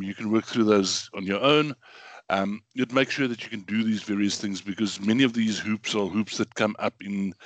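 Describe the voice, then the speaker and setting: A middle-aged man lectures online in a slightly hoarse, lowish voice, talking loosely with many ums and repeated words. His computer mic sounds dry and close, with no room around him. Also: no echo. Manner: casual, filler-heavy, repeats words. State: hoarse from bronchitis.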